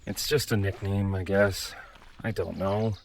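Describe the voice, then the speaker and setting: A man's voice: sits low, fairly even intonation, unhurried, creaky, a little hiss or hum. A man playing an earnest, mild traveler in an audio drama, speaking politely and a little hesitantly. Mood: resigned. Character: earnest, mild man stranded in big robot body.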